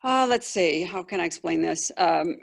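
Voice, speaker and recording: A woman speaking as a patient, describing symptoms conversationally and haltingly on a video call, searching for words. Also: lowish voice, lively intonation, vocal fry. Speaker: patient asking a doctor questions.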